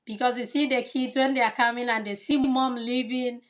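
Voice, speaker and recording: A woman's voice: on the high side, fairly even intonation, normal speed. A woman from Cameroon, a kindergarten teacher, answering interview questions in an earnest, explanatory way.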